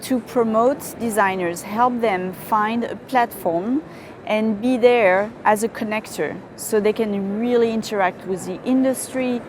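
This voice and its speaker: A woman's voice: a little high, a little slow, crowd noise. A woman event organizer describing her program in an earnest, promotional way. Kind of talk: promotional interview. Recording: noisy background ambience.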